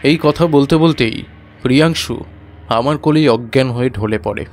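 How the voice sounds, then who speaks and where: A man's voice: medium pitch, normal speed. A man giving a dramatic first-person narration of a horror audio story.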